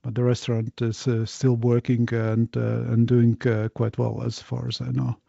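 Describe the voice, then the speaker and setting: A man's voice: medium pitch, monotone, normal speed, vocal fry, warm tone, no echo, highs cut off. A man hosting a podcast interview, speaking hesitantly with many fillers. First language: Latvian. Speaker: podcast host.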